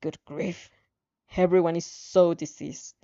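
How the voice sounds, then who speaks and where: Woman doing a creepy masked villain guy, grossed out by everyone, low-key mellow, audio story. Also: fairly even intonation, measured pace, dry recording, lo-fi sound. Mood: cold disgust. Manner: disdainful, remorseless. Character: cold masked male villain, disgusted by others.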